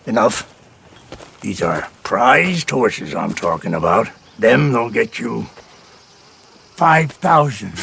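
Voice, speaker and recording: An actor playing a bitter, scornful older landowner with a hoarse rasp in a game cutscene. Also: male voice, fairly low pitch, big pitch swings, fairly bright tone, a little hiss or hum, full character voice. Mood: sly, assured. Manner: slow, spitting contempt. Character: bitter old Southern landowner, scornful and feuding. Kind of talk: video game cutscene acting.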